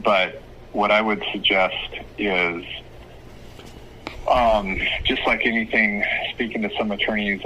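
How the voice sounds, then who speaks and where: A man speaking as an attorney, giving advice on a phone call in a measured, hesitant, explanatory way. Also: sits low, bright, forceful tone. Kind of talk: phone consultation, casual advice. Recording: phone call with faint noise.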